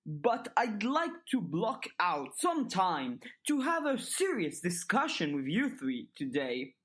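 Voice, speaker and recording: A woman voicing a smooth, calculating older male headmaster in an audiobook, speaking in measured, formal, articulate sentences with polite manipulation. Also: fairly low pitch, very animated, pitched above the performer's own voice. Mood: measured, diplomatic. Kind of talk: dramatized audiobook dialogue.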